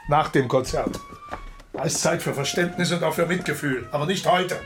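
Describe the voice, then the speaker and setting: A man's voice: fairly high pitch, moderate pace, over music, lightly acted. An actor in a German radio drama playing an impatient, commanding male composer with an urgent, exhorting, theatrical manner. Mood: stern, impatient. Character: Bach, impatient, commanding male composer driving his musician.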